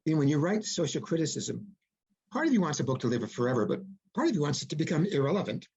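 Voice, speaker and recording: A man's voice: fairly high pitch, sing-song, quick pace, a little mellow, slightly rough, muffled recording. A man speaking as an interviewed author and producer, explaining things reflectively with folksy turns of phrase. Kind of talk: interview conversation.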